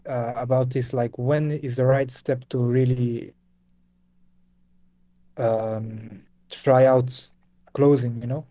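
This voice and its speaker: A man's voice: mid-range voice, warm tone. A man from Belgium with a Belgian Dutch accent, a Dutch speaker, asking a question on a video call, hesitant with many uhs and restarts. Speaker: caller on a group call.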